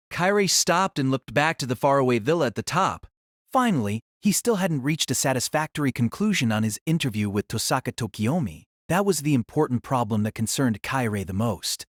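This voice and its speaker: Dramatic male narrator with lively pitch swings. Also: no room sound, clean studio sound. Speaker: audiobook narrator. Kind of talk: dramatic scripted novel narration.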